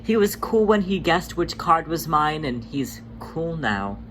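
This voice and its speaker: Reader doing a laid-back protective tomcat big bro, teasing n soft, storybook style, really animated, bit of hiss behind. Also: medium pitch, unhurried, pitched above the performer's own voice, low-quality recording. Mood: playful, teasing. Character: playful, protective, laid-back male cat, big brother.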